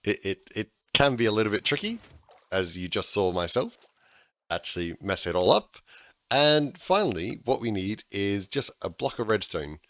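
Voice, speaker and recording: A man, slightly hoarse because he is losing his voice, talking through a game-building tutorial in a chatty way with frequent restarts. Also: lowish voice, animated, moderate pace, a bit bright, dry recording. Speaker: gaming tutorial host. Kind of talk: casual game tutorial voice-over.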